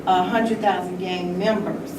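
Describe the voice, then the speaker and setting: A woman gives measured answers in a public discussion, speaking slowly and deliberately. The sound is distant and echoey, with loud noise behind her. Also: fairly low pitch, fairly even intonation. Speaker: panel participant. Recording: echoey, very noisy room, distant mic.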